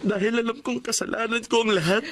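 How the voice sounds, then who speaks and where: An actor playing a humbled, remorseful grown son in a Filipino drama, with a Filipino accent. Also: male voice, very high voice, fairly even intonation, fast talker. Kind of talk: Filipino radio-style drama acting.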